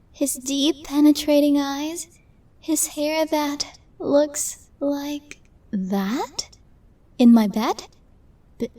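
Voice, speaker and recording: A woman's voice: extremely high pitch, no echo. A woman playing a tiny, nervous, lovestruck young woman in an ASMR roleplay, stammering and flustered. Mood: dreamy, then shocked. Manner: stammering, flustered, breathy asides.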